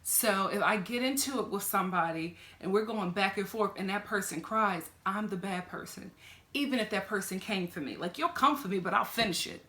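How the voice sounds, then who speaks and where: A young woman with a fairly deep voice giving an animated, sassy reaction review, talking straight at the people she watches. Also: brisk, echoey room. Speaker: reality TV reviewer. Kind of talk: casual reaction commentary.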